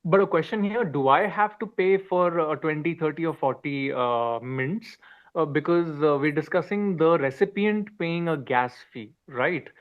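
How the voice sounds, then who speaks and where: A man's voice: on the high side, a little slow. A man asking questions as an audience member in a casual online chat, politely and a bit hesitantly, repeating himself. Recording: dull lo-fi online call audio.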